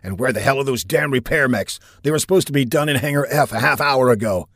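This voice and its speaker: A man's voice: medium pitch, fast talker, a bit bright, no room sound, studio quality, voice pushed up. A man voicing a brusque male squadron commander who speaks curtly and curses. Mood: irritated. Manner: curt and commanding, cursing.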